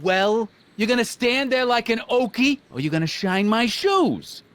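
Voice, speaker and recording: A man's voice: very high voice, sing-song, unhurried, fairly bright tone, heavily acted. An actor playing a brash, bullying American gangster boss in a video game, with sarcastic, domineering tough-guy talk and old-time slang. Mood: brusque, evasive.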